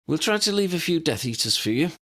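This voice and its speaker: A man's voice: fairly high pitch, very animated, quick pace, bright, forceful tone, pitched above the performer's own voice, exaggerated voice. A man voicing a spirited, teasing, bossy young woman in an audiobook.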